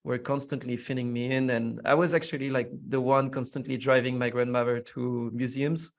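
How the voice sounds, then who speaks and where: A man's voice: mid-range voice, steady tone, normal speed. A young French man guest in an interview, answering in a reflective way with many fillers. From France. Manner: reflective, curious, frequent fillers. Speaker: podcast guest.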